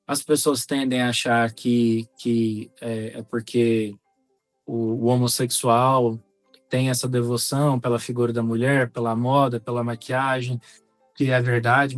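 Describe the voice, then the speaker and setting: A man with a Brazilian accent talking reflectively as a podcast co-host, measured and articulate, feeling for his words. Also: medium pitch, dry recording. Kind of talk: reflective conversational podcast talk.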